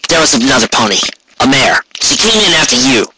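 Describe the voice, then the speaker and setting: A man's voice: medium pitch, sing-song, brisk, noisy background, close to an everyday voice. A man voicing a brash, stubborn young female pegasus pony in a fan story reading, insistent and casually slangy. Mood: stubborn, worried.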